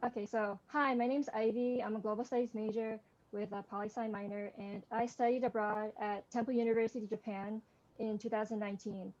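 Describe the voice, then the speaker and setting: A soft-spoken young woman introducing herself as a student on a video call. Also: medium pitch, little pitch movement, brisk, muffled recording. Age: young adult. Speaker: student panelist.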